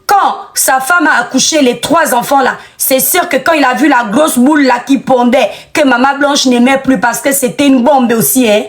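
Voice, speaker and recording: A woman's voice: high-pitched, moderate pace, hardly any pauses. A woman giving an outspoken commentary monologue, emphatic and scolding, repeating phrases for effect.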